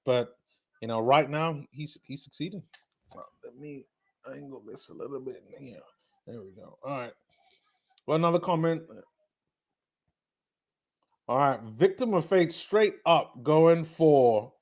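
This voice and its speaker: A man with a British accent blended with North American, hosting a casual live watch-party stream, chatting with viewers and getting excited on big plays. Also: medium pitch. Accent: British mixed with North American. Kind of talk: live casual game commentary and chat.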